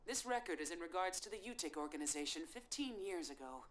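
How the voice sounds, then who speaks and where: Cool female investigator played by a woman, lowish voice with lively inflection, blunt and wry, game cutscene delivery. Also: fast, close to an everyday voice. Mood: businesslike. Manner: matter-of-fact, wry. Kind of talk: English-dubbed video game acting.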